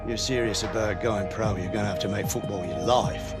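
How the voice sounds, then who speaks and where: A man's voice: on the low side, steady tone, a little fast, close to an everyday voice. An actor playing a middle-aged father, earnest and affectionate, in a video game cutscene. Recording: music behind the voice.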